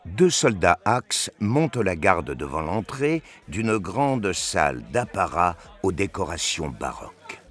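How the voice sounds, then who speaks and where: Man, audio drama narrator, very low voice, animated intonation, music behind.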